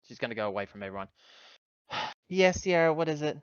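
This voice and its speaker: A man's voice: on the high side, big pitch swings, a little fast, clear, resonant tone, no echo, highs cut off. A man chatting casually as a player in a tabletop roleplaying game, deliberating as he goes.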